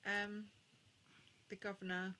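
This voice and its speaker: Very bright, sharp-voiced woman chatting. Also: mid-range voice, little pitch movement, slow. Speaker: podcast panellist. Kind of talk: casual chat.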